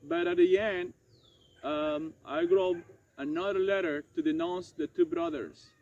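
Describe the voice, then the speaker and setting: A man playing a regretful French doctor and former prisoner in an amateur dramatized monologue, speaking haltingly and correcting himself. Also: high voice, big pitch swings, vocal fry, darkish tone, heavily acted. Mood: solemn recounting. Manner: halting, hesitant, self-correcting delivery. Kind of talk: amateur dramatized monologue retelling past events. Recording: dull lo-fi sound, faint noise.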